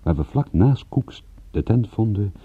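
A muffled old radio play features a wry, observant private detective. His voice is low and very husky, with a lively melodic range. He tells his case in dry, colloquial, sardonic phrases. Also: a little slow, dry recording. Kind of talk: radio drama narration and dialogue.

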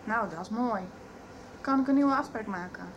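A woman's voice: a little high, moderate pace, lo-fi sound, a little hiss or hum, lightly acted. An actress playing a polite, direct young woman in a 1990s Dutch radio soap. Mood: polite, concerned.

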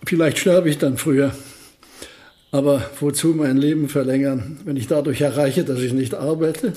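An older actor in a German radio play playing a polite, wry, absent-minded elderly physics professor. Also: male voice, on the high side, steady tone, moderate pace, mellow, close to an everyday voice.

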